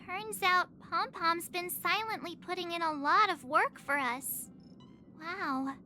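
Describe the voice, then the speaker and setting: An actress playing a cute, perky young girl in a video game, melodramatic and expressive, with a whiny edge. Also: very high voice, slow pace, soft background music, heavily acted. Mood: impressed.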